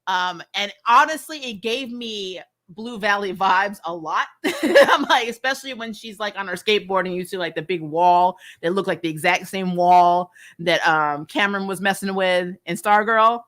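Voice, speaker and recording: A woman host giving a casual, chatty recap and review, retelling things in an animated way with frequent asides. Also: mid-range voice, moderate pace. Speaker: YouTube host reviewing TV episodes.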